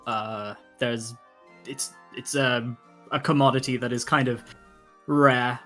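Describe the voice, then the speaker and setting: A man's voice: medium pitch, steady tone, slow, fairly bright tone. A man running a tabletop game as game master, casually narrating and ruling on rolls, thinking aloud as he goes. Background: faint music.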